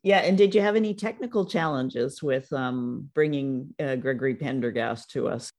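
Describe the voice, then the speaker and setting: Encouraging woman, gravelly. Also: very deep voice, normal speed, darkish tone. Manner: encouraging, affirming. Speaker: interview host. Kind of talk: casual interview chat.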